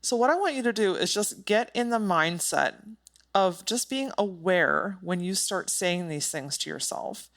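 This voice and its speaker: A woman's voice: mid-range voice, animated, measured pace, a bit bright. A woman hosting a solo motivational podcast, speaking in an emphatic, direct and informal way with some casual swearing. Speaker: podcast host.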